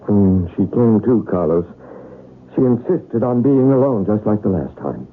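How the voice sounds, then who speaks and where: An actor playing a worried, insistent husband and professor, speaking earnestly in an old-time radio drama style. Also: male voice, lowish voice, expressive, a little slow, music in the background. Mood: puzzled, concerned. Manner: earnest, urgent. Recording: tinny, noisy old radio broadcast.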